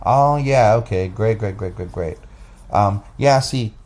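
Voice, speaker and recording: Deep bassy guy hosting a chatty podcast, kinda rambly, lots of restarts, low voice. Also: a little slow, darkish tone. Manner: rambling, loose delivery with many restarts and fillers. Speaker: podcast host. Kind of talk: casual podcast chat. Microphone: phone app recording.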